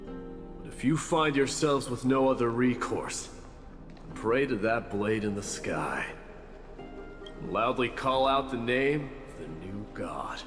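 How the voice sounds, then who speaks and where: An actor playing a stern, proud warrior prince in a video game, speaking in a measured, grave and declarative way. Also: male voice, medium pitch, animated. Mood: grave resolve. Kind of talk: dramatic video game dialogue. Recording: long reverb, music behind. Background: game soundtrack music.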